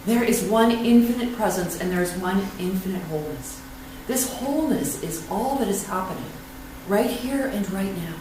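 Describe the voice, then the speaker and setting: A woman leading a church invocation and readings in a prayerful, unhurried, affirming way. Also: medium pitch, animated, darkish tone. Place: church sanctuary. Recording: echoey room, far from mic, noisy.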